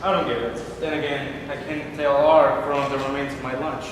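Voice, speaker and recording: A man's voice: medium pitch, level tone, moderate pace, lightly acted. A man acting in an amateur stage play as a blunt, dryly sardonic landlord. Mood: brusque. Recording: echoey hall, distant noisy mic.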